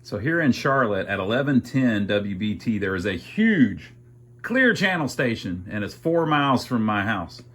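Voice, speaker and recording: A man's voice: fairly low pitch, big pitch swings, moderate pace, fairly bright tone. A man casually thinking aloud as he narrates a hands-on repair, with a folksy, wry sense of humor. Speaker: amateur radio repairer and video host.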